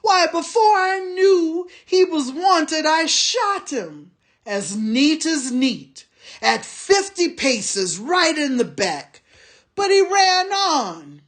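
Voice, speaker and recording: A big, bullying orc soldier with a hot temper, barking threats in a raised, lively voice, as a woman puts him on for a storybook reading. He talks slowly and with heavy weight. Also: very high voice, voice pushed up, heavily acted. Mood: blustering, angry. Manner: shouting, threatening.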